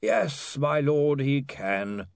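Man doing a high-voiced, gloomy, deferential old-school lawyer. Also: sing-song, creaky, no echo, clean studio sound, heavily acted. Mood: gloomy, formal. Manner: slow, deferential, reluctant, sighing. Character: gloomy, weary, formal male lawyer adviser.

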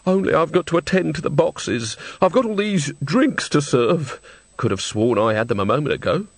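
A man's voice: a little high, very animated, normal speed, no echo, voice pushed up. A man reading a storybook, voicing a frightened, tearful little old woman who speaks in a fretful, plaintive, sniffling way.

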